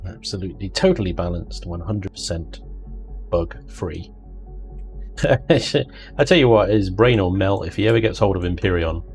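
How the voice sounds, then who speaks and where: Chatty man, low voice. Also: darkish tone. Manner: chatty, jokey, drifts into mock voices and laughter. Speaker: livestream host. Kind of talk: casual chat while gaming.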